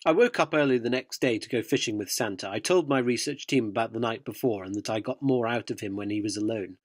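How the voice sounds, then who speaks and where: A man's voice: mid-range voice, fast, no echo, clean studio sound, lightly acted. A man playing an earnest, inquisitive magazine reporter in a radio drama, narrating and questioning with a dryly descriptive manner.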